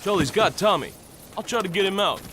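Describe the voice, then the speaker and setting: A man's voice: sits high, lively intonation, a little fast, noisy background. A man with an American accent playing a tough soldier in a Vietnam War video game, talking in military slang. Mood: tense. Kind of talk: video game cutscene dialogue.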